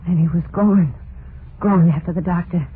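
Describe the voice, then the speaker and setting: An actress playing a terrified, unhinged wife in an old-time radio horror monologue, halting and overwrought, full of guilt and dread. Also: female voice, on the low side, lightly acted. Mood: horrified. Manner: halting, overwrought, drawn-out dramatic delivery. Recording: noisy narrow-band vintage radio recording.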